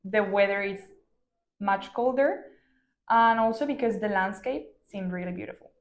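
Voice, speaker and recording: A woman's voice: mid-range voice, moderate pace. Young woman student, echoey room. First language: Spanish. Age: 20s. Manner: steady, thoughtful answers. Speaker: student, exam candidate. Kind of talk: practice exam answers.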